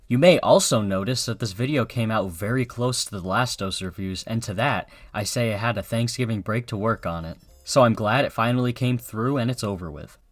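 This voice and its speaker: A man's voice: fairly low pitch, dry recording. A man narrating a scripted video review in an informal, sardonic, sweary way.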